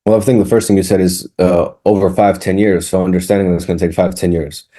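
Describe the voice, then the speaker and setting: Man, 30s, interview guest; low-ish mellow voice, rambling and candid. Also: flat delivery, fast talker. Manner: rambling, frequent restarts and fillers, candid. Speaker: music producer, guest. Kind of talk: casual interview chat.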